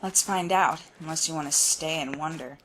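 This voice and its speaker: A woman's voice: low-pitched, moderate pace, background noise, natural voice. A woman playing a confident, no-nonsense female agent in a fan audio drama. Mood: assured.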